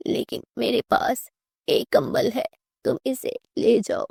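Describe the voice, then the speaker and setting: A woman voicing a frail, kind old beggar granny in a cartoon moral story, with a breathy, raspy whisper and a slow, pleading, tender manner. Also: a little high, lively intonation, darkish tone, no room sound, studio quality, heavily acted. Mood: tearful gratitude.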